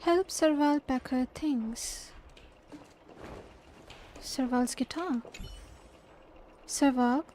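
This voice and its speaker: A woman's voice: sits high, very slow, long pauses, dark tone, slight background noise. A woman streaming a video game, reading dialogue aloud and reacting as she plays.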